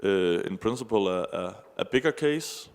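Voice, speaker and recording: Low-voiced, halting man in an echoey hall. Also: expressive. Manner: halting, hesitant, measured. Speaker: conference panelist. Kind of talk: unscripted expert answer on a panel. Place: large indoor conference hall. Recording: echoey hall, long reverb.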